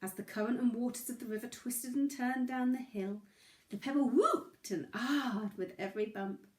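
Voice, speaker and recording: Female storyteller, high voice, dramatic and expressive read, echoey room sound. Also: normal speed. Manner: animated, dramatic. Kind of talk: expressive children's story reading.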